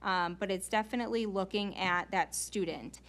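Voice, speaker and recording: A woman's voice: medium pitch, moderate pace, a bit bright. A woman speaking as a school staff member in an informal, explanatory meeting presentation, earnest and given to rhetorical questions.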